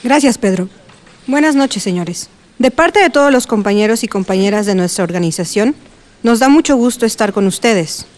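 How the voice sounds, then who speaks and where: Actress as composed, higher-voiced woman lawyer. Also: a little mellow, natural voice. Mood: formal, courteous. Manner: formal, measured, explanatory. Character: composed, professional woman lawyer advising a village assembly. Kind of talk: radio drama acting.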